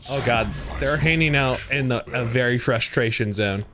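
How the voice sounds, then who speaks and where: A man's voice: mid-range voice, moderate pace, over music. A man streaming a multiplayer shooter, chatting casually with friends and laughing while he gives them directions. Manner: lively, laughing, giving teammates directions. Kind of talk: casual gaming chat.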